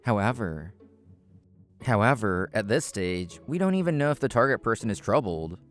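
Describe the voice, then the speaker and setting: Low-voiced man narrating a scripted story over music. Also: big pitch swings, normal speed. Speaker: narrator and main character of a manga video. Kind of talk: scripted first-person narration.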